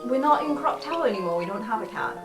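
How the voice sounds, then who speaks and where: A woman's voice: a little high, animated, fast, voice pushed up, close to an everyday voice. A woman playing a dry, awkward student. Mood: dry, casual. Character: dry, awkward, unimpressed student named Mistake. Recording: roomy sound, music underneath.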